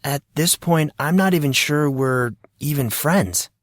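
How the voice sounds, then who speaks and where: A man's voice: fairly high pitch, measured pace, no room sound, studio quality, pitched above the performer's own voice, close to an everyday voice. A man voicing an earnest, defensive teenage boy with a hesitant manner.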